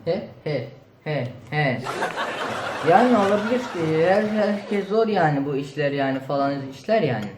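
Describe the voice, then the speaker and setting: A woman's voice: low voice, expressive, moderate pace. A woman playing a goofy, bumbling male superhero in a children's comedy skit, rambling and offhand. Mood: offhand, awkward. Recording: echoey room with clear background noise.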